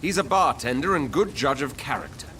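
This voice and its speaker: A man's voice: medium pitch, animated, brisk, sharp tone, slight background noise. An actor playing an earnest, jittery night patrolman and vampire hunter in a video game, with a theatrical manner. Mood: guarded, confiding. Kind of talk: video game dialogue acting. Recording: game dialogue with music behind.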